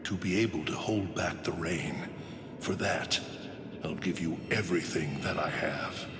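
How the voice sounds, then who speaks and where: An actor playing a solemn, ancient sword spirit in an anime video game, grave and measured, with devoted gravity. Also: male voice, sits low, over music. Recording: echoing reverb, ambient noise behind.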